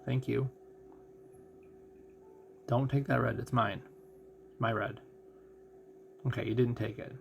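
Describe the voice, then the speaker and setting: Creaky-voiced man musing over a game. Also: medium pitch, fairly even intonation, takes it slowly, pauses often, slight background noise. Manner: musing, thinking out loud. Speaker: gaming streamer. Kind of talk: casual live game commentary.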